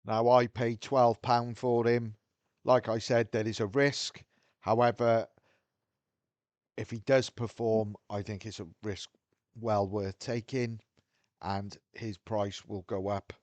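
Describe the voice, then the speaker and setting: A man's voice: fairly low pitch, little pitch movement, takes it slowly, darkish tone, dry recording. A man with a Welsh accent talking frankly to his viewers, thinking aloud as he goes. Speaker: video host. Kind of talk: casual talk to camera.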